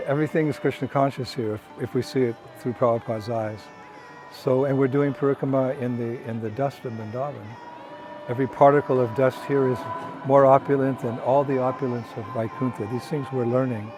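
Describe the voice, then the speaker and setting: Older guy, monk, soft halting talk with lots of ums, outdoors on a walk, bit of music in the back. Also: medium pitch, steady tone. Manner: soft-spoken, halting, with many ums and restarts. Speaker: traveling monk. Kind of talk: casual spoken reflection. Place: outdoors on a walking pilgrimage path.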